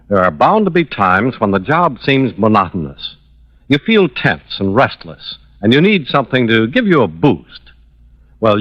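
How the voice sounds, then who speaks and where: An American man announcing on 1950s radio, giving a friendly, reassuring sales pitch. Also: no echo. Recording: dry, muffled old radio recording.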